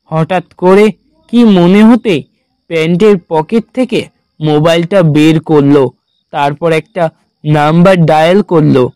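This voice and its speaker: A man narrating a scripted horror story in a measured, dramatic storytelling manner. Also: a little high, mellow, clean tone, dry recording.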